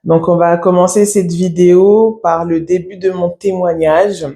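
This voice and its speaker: Halting woman in her thirties giving testimony. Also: on the low side, fairly even intonation, mellow. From France. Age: 30s. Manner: halting, reflective, many pauses. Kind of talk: personal faith testimony. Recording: echoey room.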